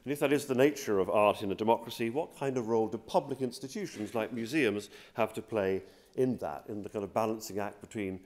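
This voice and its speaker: A middle-aged British man speaking formally as an academic host at a lectern, measured, erudite and hesitant. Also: on the low side, a little mellow. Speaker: academic host chairing a panel. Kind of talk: formal introduction to a symposium. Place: university lecture hall. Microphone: lectern microphone.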